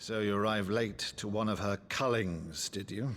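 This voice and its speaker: An actor playing an older nobleman, a blustering but warm-hearted uncle, in a theatrical, grandiloquent video game performance. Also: fairly low pitch, normal speed, slight background noise, natural voice. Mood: grave, weary. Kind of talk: dramatic video game acting.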